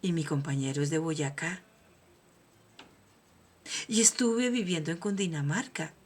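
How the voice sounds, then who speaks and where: A woman's voice: low voice, a little slow, husky. Emphatic Colombian woman speaker. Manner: emphatic, preaching, swings from soft to loud. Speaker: host, motivational speaker. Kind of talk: personal motivational monologue.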